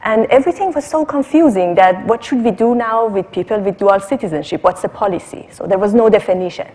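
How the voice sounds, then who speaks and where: A woman's voice: mid-range voice, a little fast, darkish tone, faint music behind. Woman from Iran in a reverberant hall. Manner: conversational, checks in with "right?". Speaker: researcher on a panel. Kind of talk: personal anecdote in panel discussion.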